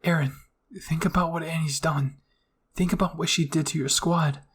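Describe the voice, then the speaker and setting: A man's voice: on the high side, fairly even intonation, normal speed, a bit bright, breathy edge. A man voicing a stern, protective young woman soldier in an anime story. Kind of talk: anime fan-fiction roleplay.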